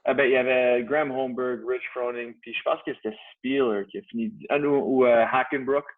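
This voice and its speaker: A man's voice: medium pitch, level tone, brisk. Hesitant Canadian French man on a tinny line. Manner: hesitant, many restarts and fillers. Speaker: guest, CrossFit gym owner. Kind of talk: casual interview.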